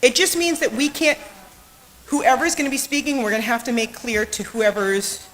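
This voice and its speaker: A woman's voice: a little high, normal speed, fairly bright tone. A woman speaking live into a podium microphone during a sound check, on a hissy live stream. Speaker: bema team member testing microphones. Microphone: podium microphones, sometimes speaking off mic. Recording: hissy live stream, sometimes distant from mic.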